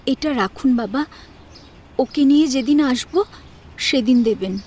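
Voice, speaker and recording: Woman acting a humble, high-voiced daughter-in-law. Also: unhurried, darkish tone, slightly rough. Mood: polite. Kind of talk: dramatised audio story acting.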